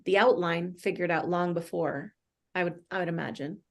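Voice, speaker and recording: A woman's voice: lowish voice, steady tone, normal speed. A woman in a casual group discussion, thinking aloud in a tentative way. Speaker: discussion participant.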